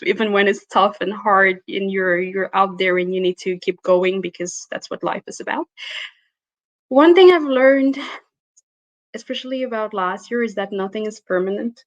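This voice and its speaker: A woman's voice: medium pitch, no room sound, lo-fi sound. Woman speaking in a dry close voice. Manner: thoughtful, laughs easily, with restarts. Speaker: guest. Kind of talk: interview answers.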